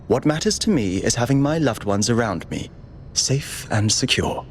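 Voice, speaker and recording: An actor playing a cautious, overworked android chief aide in a video game, with a measured, dutiful, disapproving manner. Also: male voice, mid-range voice, expressive, slight background noise, natural voice. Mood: weary, disapproving.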